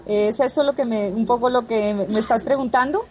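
A woman's voice: fairly high pitch, a little fast, a little mellow. A Colombian woman answering interview questions in a didactic, explanatory way, laying out her points one by one. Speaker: radio archive representative being interviewed.

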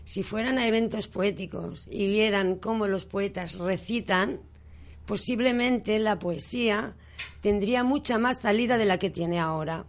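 A woman poet speaks on a radio show at an unhurried pace. Her delivery is emphatic and conversational, leaning on her key words. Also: medium pitch. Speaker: poet guest. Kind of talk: casual radio interview.

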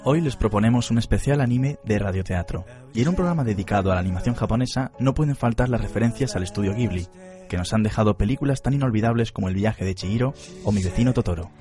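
Quick, mellow-voiced man presenting radio. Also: fairly low pitch, faint music behind. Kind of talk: scripted radio introduction.

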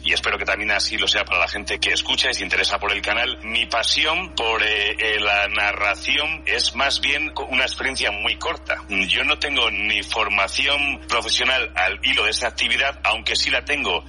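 Bright-voiced middle-aged man from Spain. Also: lowish voice, normal speed, few pauses. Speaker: interview guest, horror story channel narrator. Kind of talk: reflective interview talk.

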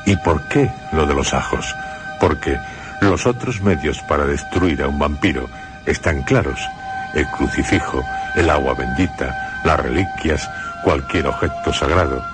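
Male radio storyteller, Castilian accent. Extremely deep, dramatic, rhetorical, with clear musical bed. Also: animated, unhurried, over music. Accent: Castilian Spanish. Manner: theatrical, rhetorical questioning. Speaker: radio presenter and narrator. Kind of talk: dramatized scripted radio narration.

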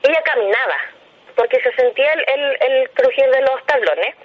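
Chilean woman, higher-pitched, on a dull recording. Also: animated, normal speed. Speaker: interviewed hospital night-shift worker. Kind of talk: recounting a personal experience in an interview.

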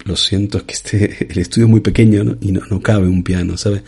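A man hosting a comedy interview in a studio, polite and deadpan, often stammering on restarts. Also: on the low side, a little fast, creaky, slightly rough. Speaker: host.

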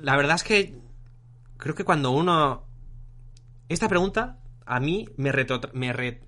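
A Spanish man in his late twenties with a bright, clear tone. He talks in a relaxed, thoughtful way as co-host of a casual podcast and now and then restarts a word mid-sentence. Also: a little high, measured pace. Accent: Spain. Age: 20s. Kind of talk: casual chat. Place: attic room at his parents' house.

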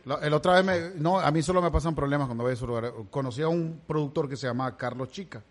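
A man's voice: on the high side, fast. A man hosting a podcast in Miami, interviewing a guest with joking, teasing banter. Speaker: podcast host. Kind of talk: casual interview chat.

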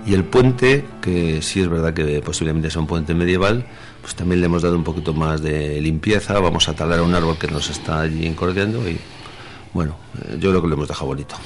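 A low-pitched man with a central Spanish accent talks as an interview guest. His manner is enthusiastic and conversational, with faint music underneath. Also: fairly quick. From Madrid, Spain. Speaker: guest, village mayor. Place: radio studio.

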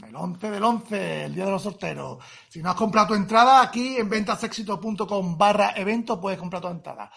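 A man's voice: sits high, fairly quick, gravelly, few pauses, a bit bright, slightly rough. A man hosting an interview, eager and prompting his guest, often ending lines with question tags. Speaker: podcast host.

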